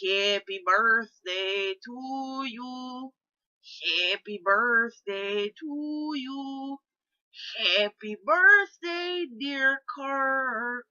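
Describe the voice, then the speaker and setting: A woman voicing a blunt, indignant Russian man in a comic prank-call style, loud, emphatic and halting. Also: sits high, sing-song, voice pushed up, full character voice. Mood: solemn half-singing. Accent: Russian, put on. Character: Boris, blunt indignant Russian caller giving birthday wishes. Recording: dull, lo-fi sound.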